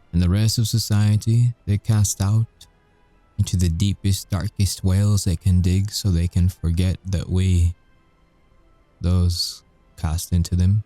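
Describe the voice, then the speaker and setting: Casting: male actor as mocking supernatural convict for roleplay audio; low register, close dry sound, sweary, sarcastic, dangerous temper. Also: no echo. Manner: slow, taunting, sarcastic, sweary, with dark chuckles. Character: sardonic, volatile male supernatural prisoner, mocking and bitter. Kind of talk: audio roleplay ASMR acting.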